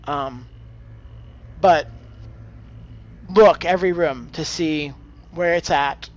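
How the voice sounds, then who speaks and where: A man's voice: fairly high pitch, slow, muffled recording. A man giving casual live gaming commentary and tips, thinking aloud as he plays. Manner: hesitant, thinking aloud, exclaiming at the game. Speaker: game streamer.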